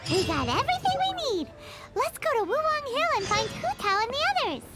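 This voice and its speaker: A woman voicing a tiny chirpy fairy girl companion in a game, with a very high, squeaky, childlike voice. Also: very animated, brisk, heavily acted. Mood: grateful. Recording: game audio with loud music.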